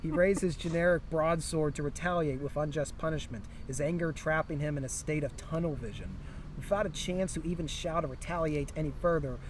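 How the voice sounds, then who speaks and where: Outdoor ambience with faint vehicle noise sits behind a man with a slightly high voice. He reads a comic fantasy story aloud and drops into casual chat between passages. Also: brisk. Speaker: co-reader in a story read-along. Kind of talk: humorous story reading with casual asides. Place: outdoors near a historic town site.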